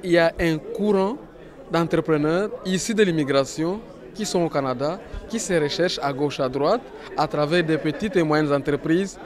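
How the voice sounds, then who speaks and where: High-voiced man interviewed at an event. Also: moderate pace. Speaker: convention organiser. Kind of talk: on-camera interview answer. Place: large hotel conference hall. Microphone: handheld reporter microphone. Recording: faint crowd chatter behind.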